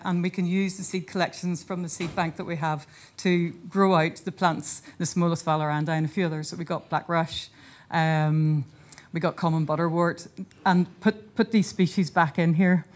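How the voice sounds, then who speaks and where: A woman giving an earnest, informal conference talk in a hotel conference room, often pausing and restarting her sentences. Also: fairly low pitch, fairly even intonation. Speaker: conference speaker and seed charity founder. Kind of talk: conference presentation.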